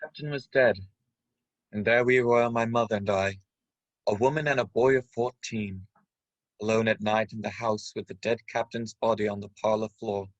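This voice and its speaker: A man playing the narrator of a radio play, gravely recounting a boyhood adventure. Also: on the low side, steady tone, normal speed, no echo, muffled recording, lightly acted. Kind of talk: scripted dramatic narration.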